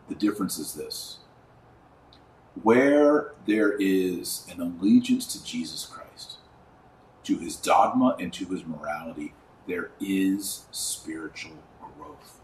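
A middle-aged man with a low-pitched voice hosts a religious commentary podcast. He talks informally but emphatically, stretching key words and leaving pauses. The sound is roomy, as if he sits some way from the microphone. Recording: roomy echo, distant mic, faint noise.

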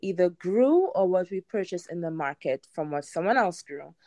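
Woman, Trinidadian accent, thirties, lower-pitched, close dry sound, casual podcast guest chat. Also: lively intonation, normal speed, a little mellow, no echo. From Trinidad and Tobago. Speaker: podcast guest, home gardener.

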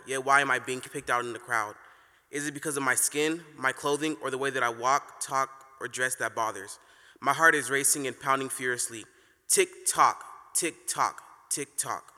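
A man's voice: mid-range voice, sharp tone. A man performing a spoken word poem on a live stage, impassioned and mournful. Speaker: slam poet. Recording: reverberant hall.

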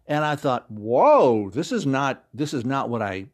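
A man answers interview questions in a casual, conversational way. He talks expressively and rambles like a joking storyteller, at an ordinary middle pitch. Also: big pitch swings, unhurried. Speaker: interview guest, audio drama writer and actor.

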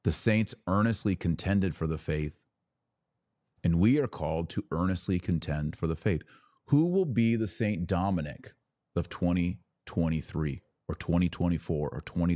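A man's voice: low-pitched, expressive, normal speed, dark tone, dry recording, clean studio sound. A man hosting a podcast, delivering a fervent religious monologue in an emphatic, exhorting manner with rhetorical questions and repetition. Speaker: podcast host.

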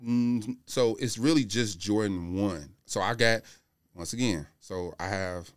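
A man with a low voice chats casually as a podcast guest. His talk is slangy and anecdotal, and it is recorded dry. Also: a little slow, no echo. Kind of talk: casual conversational chat.

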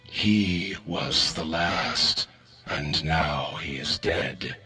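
A man voicing a cold, weary alien conqueror from deep space, speaking slowly and gravely. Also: loud music behind the voice, heavily acted. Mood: cold, solemn. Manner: slow, grave and solemn. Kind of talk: storybook reading.

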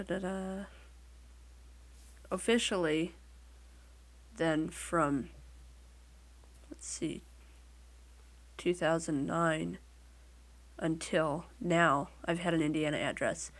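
A woman with a Midwestern American accent, talking casually as an interview guest and telling things in a wry, lightly joking way. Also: fairly low pitch. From Midwestern United States.

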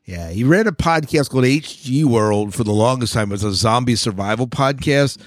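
A man's voice: medium pitch, expressive, moderate pace, dry recording, clean studio sound. A man hosting a podcast in a casual, chatty co-host style.

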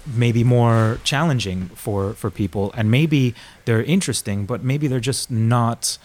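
A man's voice: medium pitch, unhurried, darkish tone. A man in his forties, born in Argentina and raised in Florida, talking about his bar in a hesitant way with many restarts. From born in Argentina, grew up in Florida. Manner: hesitant, many restarts and fillers, laughs at himself. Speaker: bar owner. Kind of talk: casual interview chat.

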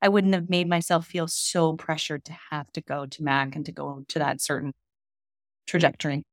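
Low-voiced woman chatting, upbeat. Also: little pitch movement, normal speed, no room sound, clean studio sound. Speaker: real estate investor guest. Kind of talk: casual interview chat.

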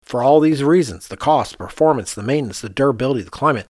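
A man's voice: mid-range voice, animated, quick pace, darkish tone, no echo, studio quality. A man who works as an architect, chatting casually as a podcast host in an emphatic way with rhetorical questions. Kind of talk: casual conversational discussion.

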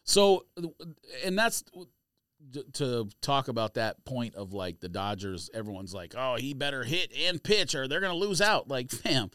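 Male podcast co-host, argumentative and slangy, animated intonation with a crisp tone. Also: mid-range voice, moderate pace, no echo, studio quality. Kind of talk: casual sports talk.

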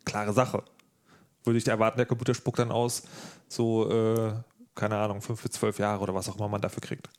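A man hosting a casual podcast, thinking out loud in informal, slangy language. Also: lowish voice, level tone, fairly quick. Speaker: podcast host and interviewer.

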